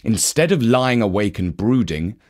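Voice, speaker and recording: A man narrating a children's story from a script in an expressive, measured manner. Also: fairly low pitch.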